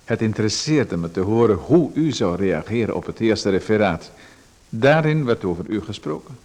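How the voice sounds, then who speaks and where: A man playing a calm, creepily familiar visitor. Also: fairly low pitch, moderate pace. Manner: calm, insinuating, politely evasive. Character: sinister, overly familiar male visitor who watches a playwright. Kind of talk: radio play acting.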